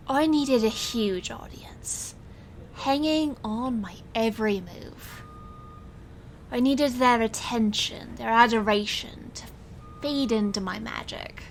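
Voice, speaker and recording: A woman as Jackie, slow sardonic stage magician. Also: a little high, fairly bright tone, slight background noise, exaggerated voice. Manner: dry, sardonic, controlled. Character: Jackie, defiant sardonic young woman stage magician telling her story. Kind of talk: first-person storytelling in an audio drama.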